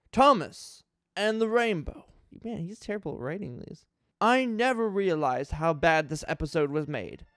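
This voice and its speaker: Man, high clear voice, theatrical mock-outraged reading, YouTube host, dry sound with music behind. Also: dry recording.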